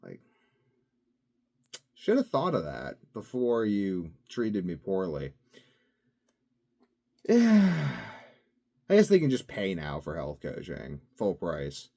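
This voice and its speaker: A man with a somewhat low voice that swings widely up and down as he talks. He rambles casually to camera in his own daily video, musing aloud, drifting between thoughts and leaning on fillers. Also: wildly swooping pitch, slow pace, vocal fry, pauses often, mellow. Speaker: vlogger.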